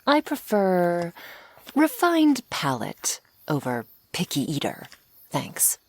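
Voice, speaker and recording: Teasing, swooping low-voiced vampire woman, acted. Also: huge pitch swings, slow pace, heavily acted. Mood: sardonic, flirty. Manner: sardonic, playful, taunting, with pet names and sighs. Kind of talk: ASMR roleplay acting, addressed to the listener.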